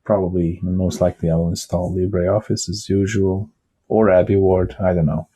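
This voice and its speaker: Bosnian native guy chatting slow and loose, deep mellow voice, lots of uhs. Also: flat delivery, husky. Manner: unhurried, rambling, many pauses and fillers. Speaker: vlogger. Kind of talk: casual screen-recorded desktop talk.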